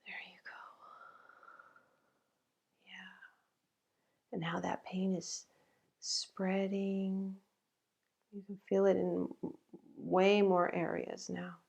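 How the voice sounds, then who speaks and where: A woman leading a guided meditation in a gentle, halting way, with long pauses between phrases. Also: mid-range voice, level tone, vocal fry, highs cut off.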